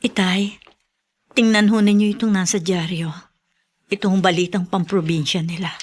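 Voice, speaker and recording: A woman's voice: mid-range voice, fairly even intonation, moderate pace, lightly acted. A Filipino woman acting in a radio drama as a wistful grown daughter and foster mother. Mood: informing.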